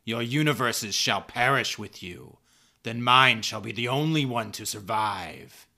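A man voicing a towering, menacing cosmic supervillain from a comic-book story, with slow, booming, grandiose proclamations. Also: vocal fry, sharp tone, heavily acted.

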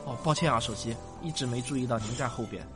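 A man's voice: mid-range voice, lightly acted. A man playing a patient, affectionate father who explains science didactically, stretching his phrase endings, in a sci-fi audio drama. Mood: polite. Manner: didactic, drawn-out sentence particles, animated. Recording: music bed behind voice.

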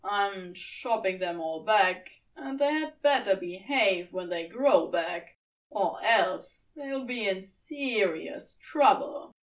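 A woman's voice: mid-range voice, animated, takes it slowly, far from the mic, rough recording. A woman voicing a wry, nonchalant male demon with a curt manner in an audiobook story. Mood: offhand, threatening. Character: offhand, wry male demon, grudgingly fond.

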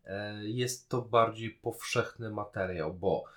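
Lowish-voiced man in casual podcast talk. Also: takes it slowly, fairly bright tone. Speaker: podcast co-host. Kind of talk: casual discussion of a TV series.

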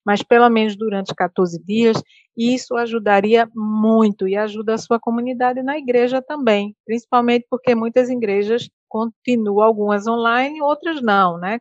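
A woman's voice: fairly high pitch, no echo. Woman guest, earnest advice, dull dry audio. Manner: earnest, advising, repeats and restarts. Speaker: health guest. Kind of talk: casual interview talk.

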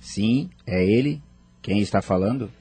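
A man's voice: fairly low pitch, a little slow, dark tone, slightly rough. A man playing a worried, guilt-ridden husband and father, earnest and troubled, in a radio soap opera. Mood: alarmed. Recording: noisy audio with ambient sound.

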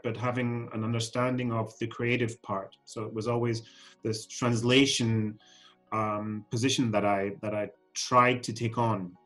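A man in his forties, born in Scotland and raised in Germany, answering questions as a podcast guest, with frequent ums and restarts. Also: medium pitch. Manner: hesitant, many ums and restarts. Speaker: guest, fashion council CEO. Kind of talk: podcast interview.

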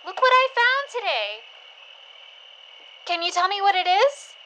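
A woman's voice: very high voice, very animated, a little slow, sharp tone, exaggerated voice. A woman playing a wary, curious young scavenger in an audio drama, guarded and questioning. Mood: curious, matter-of-fact. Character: wary, curious young female scavenger who collects specimens. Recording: noisy, night insects and frogs behind. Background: crickets, frogs, outdoor ambience.

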